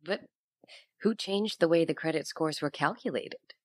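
An actress playing an inquisitive reporter, narrating an audio drama investigation in a composed, deliberate, formal manner. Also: female voice, low voice, dry recording.

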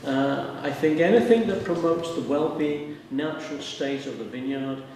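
A man's voice: fairly high pitch, normal speed, a little mellow, a little hiss or hum. An older man, a winery owner and winemaker, talking bluntly and emphatically in a casual interview, often repeating words as he thinks. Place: large winery tasting room, indoors. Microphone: handheld mic, wide camera. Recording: echoey room from far off.

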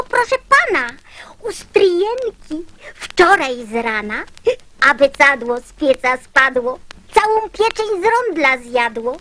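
A woman playing an excitable young girl telling outrageous tall tales in a radio verse drama. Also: high-pitched, a little hiss or hum, exaggerated voice, low-quality recording.

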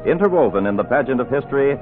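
An old, thin radio recording with faint music behind carries a male announcer. He declaims a scripted introduction formally, with lively rises and falls, in 1940s broadcast style. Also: medium pitch, very fast, narrow, tinny audio.

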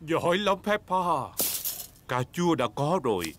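A man's voice: a little high, sing-song, unhurried, background noise. A man voicing a jolly, chubby father pig in a cartoon dub, good-humored and chuckling. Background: loud cartoon music.

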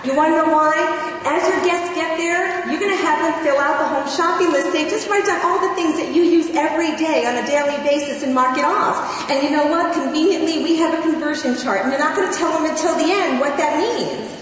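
A woman's voice: high-pitched, fairly quick. A woman giving a motivational sales training talk as a convention speaker, emphatic, repeating phrases and quizzing her audience with rhetorical questions. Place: large convention hall. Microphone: recorded from far off in the room. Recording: distant, echoey hall with faint noise.

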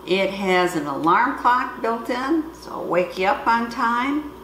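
A woman's voice: a little high, animated, unhurried, a bit bright. Lady explaining a gadget casually, middle aged, echoey room, bit of background noise. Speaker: product reviewer. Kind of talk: casual hands-on product demonstration. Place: home kitchen, indoors. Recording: roomy echo, faint background noise.

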